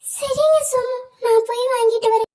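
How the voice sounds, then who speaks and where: A woman as a high-pitched, worried sparrow wife. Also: moderate pace, dark tone. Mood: polite pleading. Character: worried, polite sparrow wife pleading for fairness. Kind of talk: children's cartoon story acting.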